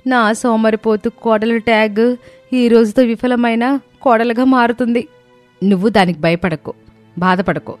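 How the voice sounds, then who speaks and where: A woman's voice: a little high, a little slow, faint music behind. Woman reading storybook dialogue. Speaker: storyteller voicing all characters.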